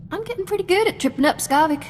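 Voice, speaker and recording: Brash female space smuggler for a game: teasing, quick-witted, animated pitch over a music bed. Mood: cocky. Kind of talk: video game cutscene acting.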